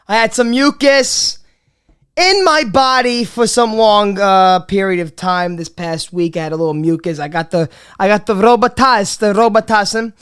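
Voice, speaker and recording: A man's voice: high voice, normal speed, fairly bright tone, smooth. A man hosting a casual comedy podcast, talking in a rambling, profane way with many restarts while he is losing his voice. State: losing his voice, a bit under the weather. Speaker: podcast host.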